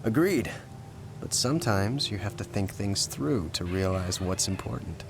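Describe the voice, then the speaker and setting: Calm game hero, lowish voice, supportive, steady, music underneath. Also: male voice, unhurried, vocal fry, exaggerated voice. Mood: calm counsel. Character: steady, reassuring male hero companion. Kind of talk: scripted video game cutscene acting.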